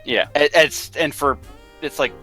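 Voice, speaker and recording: A man's voice: on the high side, a little slow, pushed and bright, faint music behind. A young man in casual chat, joking and informal. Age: young adult.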